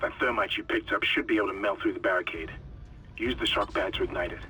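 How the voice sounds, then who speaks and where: A man's voice: fairly low pitch, fast talker, sharp tone, faint music behind. An actor playing a tense military man giving orders over a radio link in a video game. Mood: tense, urgent. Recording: distant, noisy radio transmission.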